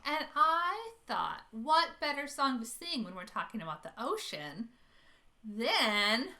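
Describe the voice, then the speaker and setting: A woman hosting an animated storytime for preschoolers, expressive and often putting questions to her young listeners. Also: sits high. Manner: expressive, addresses young children with questions and gasps. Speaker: children's librarian hosting storytime.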